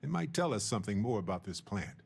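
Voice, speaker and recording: An actor playing a witty, sentimental space explorer and scientist in a video game, with a wry, playful, musing manner. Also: male voice, on the low side, very animated, fast, vocal fry, breathy edge, exaggerated voice. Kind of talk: video game dialogue acting.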